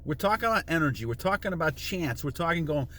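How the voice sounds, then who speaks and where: A man's voice: a little high, expressive, fast talker, fairly bright tone, a little hiss or hum. A man in his sixties hosting a casual self-help talk, rambling and repeating himself, reading passages from a book and humming chants to demonstrate. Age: 60s. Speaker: channel host.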